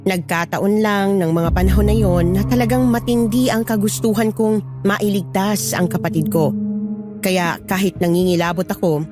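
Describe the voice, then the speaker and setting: A woman's voice: mid-range voice, steady tone, normal speed, music in the background. A woman narrating a scripted first-person Filipino horror story.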